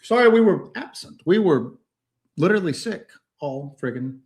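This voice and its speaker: A man with a slightly high voice, joking and thanking viewers in a loose, easygoing way. He clears his throat often and sounds like he is still getting over a cold. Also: lively intonation, normal speed. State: recovering from illness. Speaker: co-host. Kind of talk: casual live-stream chat.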